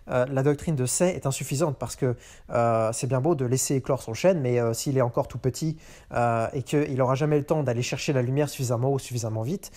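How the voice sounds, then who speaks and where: A man's voice: mid-range voice, a little mellow. A man hosting a show, talking unscripted and hesitant, with many fillers and restarts. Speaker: podcast host. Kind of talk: unscripted monologue on economics. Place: in a car.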